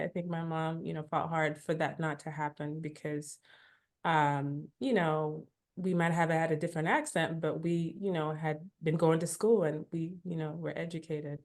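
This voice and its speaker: A woman's voice: sits low, steady tone, moderate pace. A woman from Africa, a podcast guest, telling her immigration story in a reflective, casual way, full of "you know" asides.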